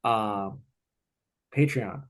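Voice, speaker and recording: A man's voice: fairly low pitch, drawn-out words. Laid-back man hosting a podcast. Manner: relaxed, slangy and upbeat. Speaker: podcast host. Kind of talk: casual chat.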